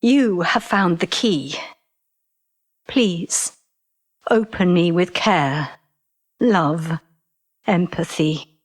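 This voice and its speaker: A woman's voice: on the low side, very slow, long pauses. A woman playing a devoted, playful, possessive British lover in an audio drama, speaking tenderly. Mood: tender, earnest.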